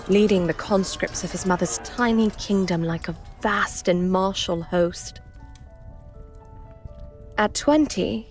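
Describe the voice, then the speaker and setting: An actress playing a reverent storyteller in an audio drama, reciting a heroic legend in measured, lyrical phrases. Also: female voice, lowish voice, darkish tone, close to an everyday voice. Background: music.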